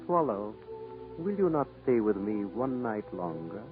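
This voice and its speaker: An actor playing a compassionate, sorrowful statue prince in a 1940s radio drama, solemn and pleading. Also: male voice, lowish voice, sing-song, unhurried, narrow, tinny audio. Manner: solemn, pleading, repeats himself. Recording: 1940s radio broadcast over music.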